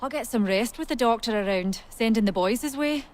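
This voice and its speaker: A woman's voice: fairly high pitch, brisk, darkish tone, close to an everyday voice. A woman playing a good-humoured, homely colonial settler in a video game, chatty and folksy. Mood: friendly, grateful. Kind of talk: video game dramatic acting.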